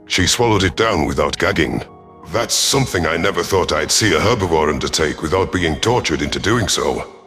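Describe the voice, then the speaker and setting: Deep, gruff reptilian predator commander, acted by a man. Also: fairly bright tone. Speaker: narrator in character. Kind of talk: first-person scripted narration.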